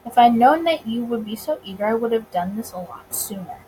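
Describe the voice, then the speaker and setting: A woman voicing a suave, confident gentleman bootlegger in a visual novel, with courtly, teasing phrasing. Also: fairly high pitch, expressive, fairly quick, slight background noise, lightly acted, low-quality recording. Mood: playful.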